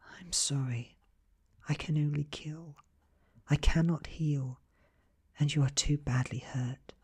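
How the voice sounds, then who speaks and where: A man with a British accent voicing an ancient female vampire from a horror audiobook, gentle, coaxing and coldly menacing. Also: fairly high pitch, fairly even intonation, takes it slowly, slightly rough, voice pushed up, full character voice. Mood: soft, falsely kind. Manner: soft, coaxing, whispery. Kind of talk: ASMR audiobook reading.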